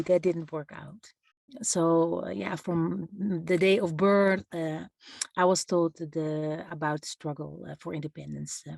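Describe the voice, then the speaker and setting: Low-voiced woman, measured and hesitant. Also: creaky, warm tone, no echo. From Netherlands. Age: 50s. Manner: measured, thoughtful, with frequent hesitations. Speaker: human rights lawyer. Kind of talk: interview answers.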